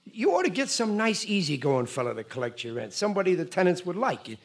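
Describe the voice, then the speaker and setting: An actor playing a cocky, blustering working-class husband in an old-time radio sitcom, with exasperated, ungrammatical talk. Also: male voice, high-pitched, big pitch swings, quick pace. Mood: wheedling. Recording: noisy vintage radio recording.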